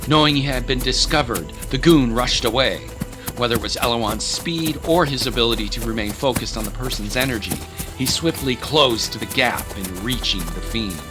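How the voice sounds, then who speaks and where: A man's voice: medium pitch, normal speed, over music. A man narrating an audio drama in a dramatic, scripted storytelling style.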